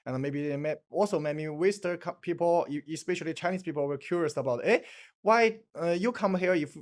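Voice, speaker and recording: Halting man searching for words. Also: fairly high pitch. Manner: hesitant, halting, searches for words with many fillers. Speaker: channel host. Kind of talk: casual interview introduction. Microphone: video call.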